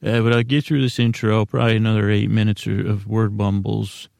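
A man hosting a sleep podcast in a casual, meandering monologue, halting and mumbling in a self-deprecating way. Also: lowish voice, little pitch movement, vocal fry, a little mellow, no room sound, clean studio sound. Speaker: podcast host.

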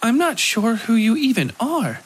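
A man's voice: very high-pitched, very animated, measured pace, voice pushed up, exaggerated voice. A man voicing a small, mousy woman in a horror audio story, hesitant and guarded. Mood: startled, wary. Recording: clear music behind the voice.

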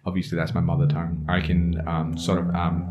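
A man from Nepal whose first language is Nepali, speaking English with a Nepali accent as a podcast guest, with a thoughtful, pausing chat. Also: low-pitched, monotone, dull audio, heavy background noise. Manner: thoughtful, many pauses with um and uh. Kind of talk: casual chat.

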